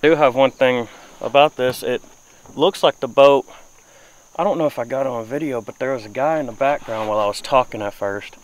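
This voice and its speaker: A man's voice: medium pitch, a little fast, rough recording. A young man talking casually to camera in folksy, informal phrasing, recorded outdoors in a small boat on a river. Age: young adult. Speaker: outdoor fishing vlogger. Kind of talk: casual vlog talk to camera. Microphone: camera mic at close to mid distance. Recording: faint outdoor ambient noise, insects.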